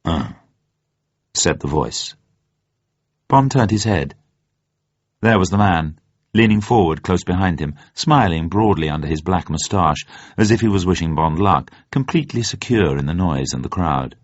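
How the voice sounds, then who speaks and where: A man narrates a thriller in a very deep, rough-edged voice. His delivery is dramatic and measured, building suspense. The sound is dull and quite echoey. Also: expressive. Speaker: narrator, reader.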